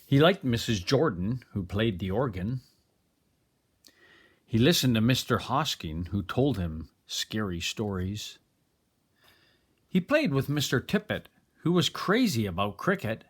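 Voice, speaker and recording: A man reading a children's story aloud in an expressive narrating style. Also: fairly low pitch, a little slow, husky. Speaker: storybook reader.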